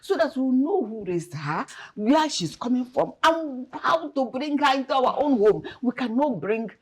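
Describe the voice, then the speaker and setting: Woman as bossy Nigerian mum in a comedy skit, scolding, pitch swings wildly. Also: lively intonation, normal speed, breathy edge. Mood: disapproving. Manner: scolding, mixes in local words. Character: domineering, disapproving middle-aged mother, sharp-tongued. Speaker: actor.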